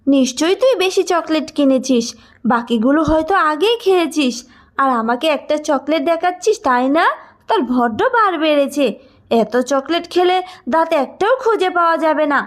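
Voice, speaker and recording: A woman voicing an emphatic, fussing village mother, loving but quick to scold, in a cartoon. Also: sits high, animated. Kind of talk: cartoon voice acting.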